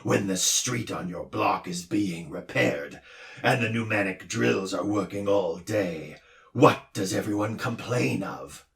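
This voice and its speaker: A man voicing a pompous male doctor who lectures loudly and roars, in a storybook reading. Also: fairly low pitch, a little slow, vocal fry, roomy sound, distant mic. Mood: lecturing. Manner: loud, roaring, lecturing. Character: booming gruff male noise doctor, pompous.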